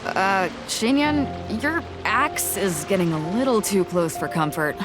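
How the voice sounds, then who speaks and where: Actress as a brash, animated sea captain woman. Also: mid-range voice, measured pace, lightly acted. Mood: wry. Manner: hearty, blunt, commanding. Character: brash, confident adult female seafaring captain. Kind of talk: video game character voice acting. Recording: music under the voice.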